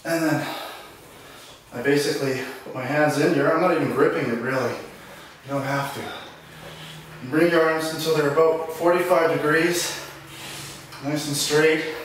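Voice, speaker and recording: A man's voice: on the high side, fairly even intonation, moderate pace, pauses often, slight background noise. A man in his forties casually explaining exercises in his small home gym while doing them, a bit breathless at times. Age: 40s. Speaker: fitness vlogger. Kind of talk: casual workout demonstration. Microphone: camera mic from across the room. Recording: echoey room, distant camera mic.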